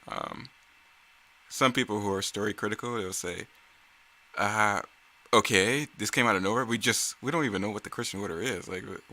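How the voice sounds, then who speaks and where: A man's voice: fairly low pitch, sharp tone. A man giving casual, off-the-cuff commentary as a creator on his own work, conversational, rambling and self-critical.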